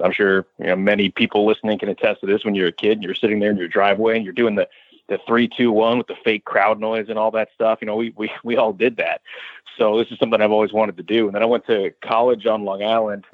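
A man's voice: fairly low pitch, dry recording, narrow, tinny audio. An American man in his thirties, a sports radio announcer, talking conversationally and at length as an interview guest. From Westchester County, New York, United States. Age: 30s.

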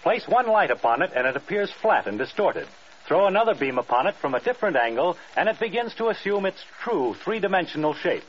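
Animated vintage American radio announcer, male. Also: high voice, fairly quick. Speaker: radio network promo announcer. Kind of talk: scripted 1940s-50s radio announcement. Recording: muffled, noisy old radio broadcast.

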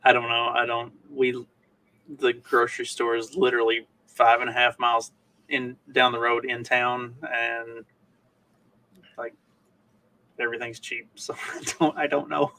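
A man with a medium-pitched voice chats as a podcast co-host. His delivery is casual and somewhat hesitant. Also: level tone, measured pace, long pauses, pushed and bright, low-quality recording. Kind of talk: casual chat.

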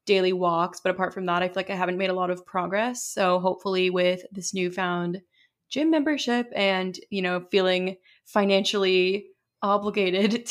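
A young woman co-hosting a podcast in casual chat, talking loosely with many fillers and a slightly hoarse voice. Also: medium pitch. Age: young adult. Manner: chatty, lots of fillers. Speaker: podcast co-host.

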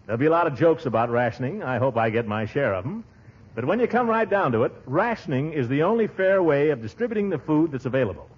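An actor playing a pompous but bashful middle-aged small-town bigwig in 1940s radio comedy, with a husky voice. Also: male voice, medium pitch. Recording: dull vintage 1940s radio recording.

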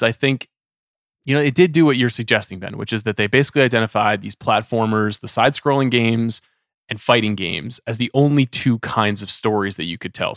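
A man's voice: medium pitch, fairly bright tone, no room sound, studio quality. A man hosting a conversational film discussion, speaking in an articulate, discursive way as he frames questions. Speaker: podcast host.

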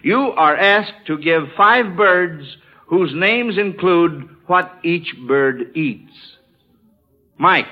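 A man's voice: fairly high pitch, drawn-out words. A man hosting a 1940s-style radio quiz for children in a folksy, chuckling manner, often repeating answers back. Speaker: quiz show host. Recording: dull, band-limited old radio recording.

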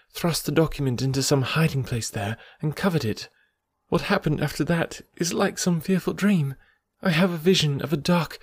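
A troubled young noblewoman, as a male audiobook reader puts her on, speaks in an earnest, measured way. The voice sits a little higher than the reader's own. The close, dry recording leaves no room sound around it. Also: no room sound, close to an everyday voice. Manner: earnest, measured, softened delivery. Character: anxious noble young English lady, confessing. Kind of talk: audiobook character reading.

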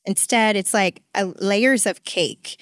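A woman's voice: mid-range voice, takes it slowly, fairly bright tone, no room sound, studio quality. A woman hosting a money podcast, effusive and enthusiastic as she talks with guests. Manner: gushing, effusive. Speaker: podcast host. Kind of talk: enthusiastic interview host.

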